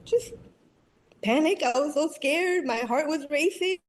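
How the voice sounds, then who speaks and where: A middle-aged woman speaks as a news interviewee. Her voice is fairly high. She gives a personal, unscripted account in her own words. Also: expressive, unhurried. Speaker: interviewee, reporter's mother.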